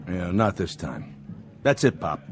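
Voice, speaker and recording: An actor playing a cool, shrewd card player with a hidden soft streak, talking in clipped, casual lines in a vintage television drama. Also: male voice, sits low, very animated, unhurried. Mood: easy, smooth. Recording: old TV soundtrack with music underneath.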